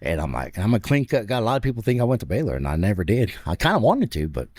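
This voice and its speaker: A man in his fifties with a deep, raspy voice. He tells his stories in an animated way, laughing between them, with a lively rise and fall in pitch. The sound is dry and close. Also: quick pace, no echo. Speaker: radio personality, guest. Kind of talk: casual storytelling interview. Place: stadium club room.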